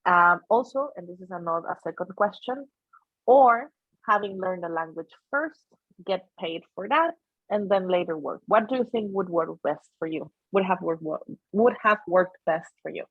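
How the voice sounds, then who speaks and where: Female panel participant, lowish husky voice, halting delivery, recorded through a thin phone-like connection. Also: lively intonation, no echo. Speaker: panel participant asking questions. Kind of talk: spontaneous question in an online webinar. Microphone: video call. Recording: tinny phone-like call, dry.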